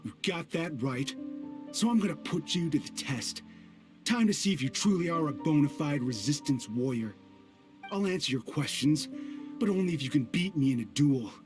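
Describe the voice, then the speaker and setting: An actor playing a brash rebel soldier who smells of drink in a video game, swaggering and taunting, eager for a duel. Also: male voice, fairly high pitch, lively intonation, normal speed, close to an everyday voice. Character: brash tipsy rebel soldier, spoiling for a fight. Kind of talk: game voice acting. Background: background music.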